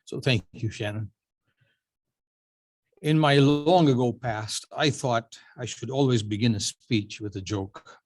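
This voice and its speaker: An older man in his seventies, originally from Uganda, delivers a prepared acceptance speech. He tells his life story at a measured pace, with dry, self-mocking humor. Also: medium pitch. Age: 70s. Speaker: award honoree giving a speech.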